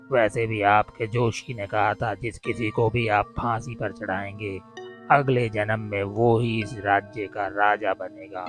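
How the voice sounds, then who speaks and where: A man voicing a calm, clever guru in a cartoon, measured and persuasive in his reasoning. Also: fairly low pitch.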